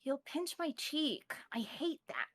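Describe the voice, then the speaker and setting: A woman's voice: high-pitched, sing-song, slow pace, full character voice. A woman voicing a reluctant, skeptical sick boy of about eight, grudging and whiny, in a table read.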